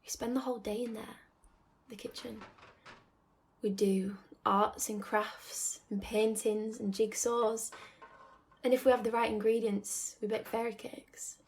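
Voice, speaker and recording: A woman's voice: on the high side, little pitch movement, a little slow, a little hiss or hum. Woman playing a wistful young woman reminiscing. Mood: nostalgic. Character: nostalgic young woman torn between home and independence. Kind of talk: reflective radio drama monologue.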